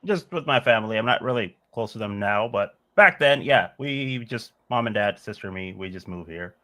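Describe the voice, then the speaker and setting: A man's voice: on the low side, expressive, moderate pace, pushed and bright. A man in his forties from Bangladesh, a musician answering interview questions in a thoughtful, meandering way with frequent "like" and "uh". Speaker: musician guest.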